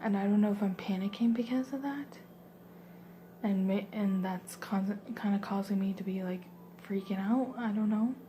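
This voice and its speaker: A young woman whispering softly, rambling through a personal story with lots of fillers. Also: mid-range voice, normal speed, a little hiss or hum.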